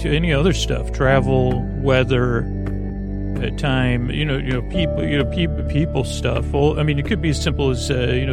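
A man's voice: medium pitch, creaky. A man hosting a sleep podcast, talking his way through a rambling, tangent-filled intro with lots of fillers and restarts, and playing with words along the way. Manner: halting, tangent-prone, many fillers and restarts; playful, muses on words.

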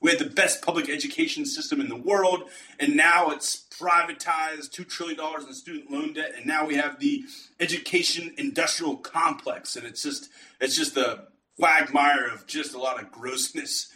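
A man's voice: on the high side, steady tone, moderate pace, pushed and bright, recorded from a distance, poor audio. A male podcast host who asks questions and adds opinionated, scoffing asides. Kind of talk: conversational podcast interview.

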